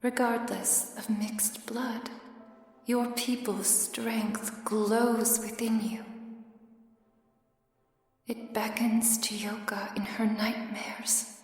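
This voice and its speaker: A woman voicing a solemn, remorseful fey spirit mentor in a scripted ASMR fantasy roleplay, speaking in a soft, hushed half-whisper with grave resolve. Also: on the high side, flat delivery. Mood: grave, foreboding. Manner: hushed, slow, deliberate, solemn. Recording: very echoey, long reverb, music behind. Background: music.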